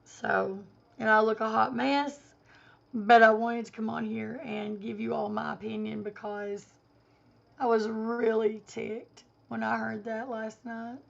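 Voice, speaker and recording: A woman vlogger airs an emphatic, indignant opinion straight to camera. Her voice is hoarse and gravelly from a cold, sitting a little high. The audio is dull and lo-fi. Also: level tone, unhurried.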